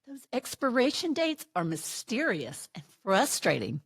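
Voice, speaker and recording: A woman hosting a podcast speaks with an upbeat, enthusiastic lilt. Her pitch swings widely, and the sound is close and dry, with no room echo. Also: huge pitch swings, unhurried, slightly rough. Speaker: podcast host.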